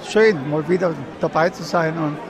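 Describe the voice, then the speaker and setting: An older man chats casually in an interview. His voice is gravelly and a bit high. A noisy crowd presses in around him. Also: normal speed. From Banat, Romania. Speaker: event visitor being interviewed. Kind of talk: casual chat. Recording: noisy crowd, loud ambient din.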